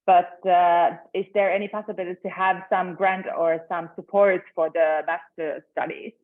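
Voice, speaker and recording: A woman's voice: fairly low pitch, steady tone, normal speed. Hesitant woman moderator, video call, narrow phone-like sound. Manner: hesitant, many fillers. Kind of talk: hosting an online info session. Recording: tinny, phone-like online call audio.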